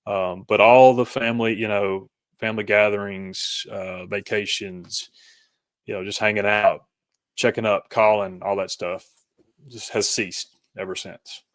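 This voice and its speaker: A man speaking as an interview guest, reflective and conversational, thinking aloud in halting, thoughtful phrases. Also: fairly low pitch, level tone, dry recording.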